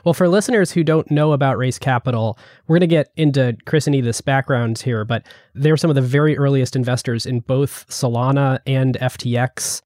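A man's voice: mid-range voice, fairly quick, few pauses, a little mellow, no room sound, clean studio sound. A man hosting a casual podcast interview, introducing his guests with upbeat, easy banter. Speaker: podcast host.

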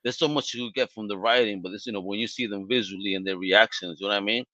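A man hosting a podcast, chatting casually and excitedly with lots of stammered restarts and slang. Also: lowish voice, fast talker, dry recording, muffled recording. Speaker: podcast host. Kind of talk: casual interview wrap-up.

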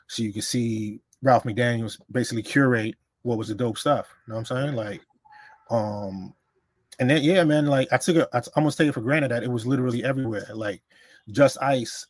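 A man born in Honduras and raised in the Bronx, a hip-hop journalist reminiscing in relaxed casual conversation. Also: mid-range voice, fairly quick, dry recording. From born in Honduras, raised in the Bronx, New York. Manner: relaxed, reminiscing, with many fillers.

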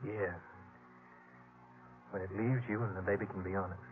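A man's voice: fairly low pitch, steady tone, normal speed, faint music behind, lightly acted. A man playing a brave, protective frontier hero in a 1950s radio drama, earnest and commanding. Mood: relieved, tender. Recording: tinny, noisy old radio recording.

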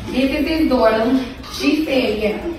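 A woman's voice: high voice, slow. A woman making a personal announcement to a gathering, recorded as an informal clip. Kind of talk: emotional public speech in a clip. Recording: echoey distant clip with music over it. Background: music.

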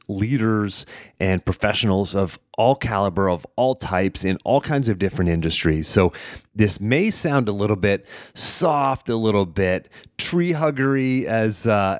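A man's voice: deep voice, dry recording, studio quality. A man in his thirties hosting a podcast, reading an upbeat promo and intro and then interviewing his guests. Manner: enthusiastic promo delivery. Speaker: podcast host.

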